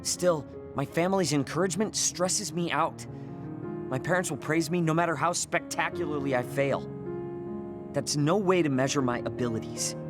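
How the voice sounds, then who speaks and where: Prickly, higher-voiced young male soldier played by an actor. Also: moderate pace, over music, natural voice. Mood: defensive. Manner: irritable, defensive. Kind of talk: English video game voice acting.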